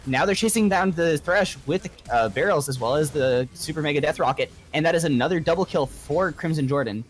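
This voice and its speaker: A man's voice: a little high, fairly quick. Man calling a live esports match. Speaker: esports play-by-play commentator. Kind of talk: live game commentary.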